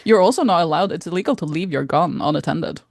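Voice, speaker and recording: A woman's voice: fairly low pitch, lively intonation, quick pace, creaky, darkish tone, no echo, clean studio sound. A woman from Norway co-hosting a podcast, chatting casually in an informal, exclamatory way. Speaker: podcast co-host.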